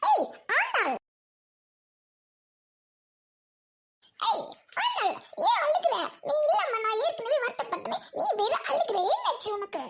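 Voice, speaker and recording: Woman voicing very high-pitched, kind-hearted village girl. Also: very animated, moderate pace, background noise, exaggerated voice. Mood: concerned. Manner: pleading, reasoning, colloquial. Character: loyal, kind-hearted young village girl, plain-spoken peacemaker. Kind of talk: village comedy-drama acting.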